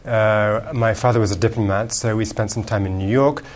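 A man's voice: lowish voice, steady tone, normal speed. A man from England with an English accent tinged with American, answering interview questions fluently, with frequent ums and repeated words. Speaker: guest author.

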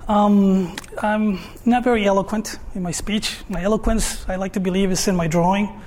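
High-voiced Mexican-accented man. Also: steady tone, moderate pace, darkish tone. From Mexico, Tijuana. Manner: hesitant, filler-heavy, frequent tag "no?". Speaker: artist guest. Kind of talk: conversational talk on stage.

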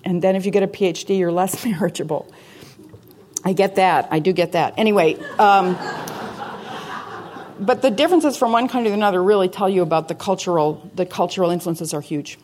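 A woman with a slightly low voice speaks as an academic guest taking audience questions. Her delivery is conversational and anecdotal, in the informal way of a lecture Q&A. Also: brisk, a little mellow. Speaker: guest speaker, university professor. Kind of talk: public lecture question-and-answer. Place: talk venue with an audience.

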